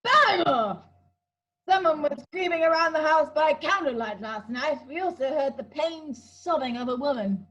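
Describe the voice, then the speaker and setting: A bossy, suspicious gentleman sidekick played by a woman in a comic radio play. The voice is very high and comes across as imperious and emphatic. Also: animated, normal speed, muffled recording.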